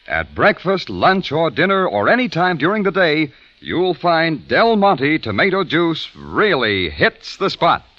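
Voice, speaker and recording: A man's voice: on the high side, sing-song, unhurried, pushed and bright, dry recording. A male announcer reading a hearty, salesy ad script. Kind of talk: scripted ad read. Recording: dull, dry old radio recording.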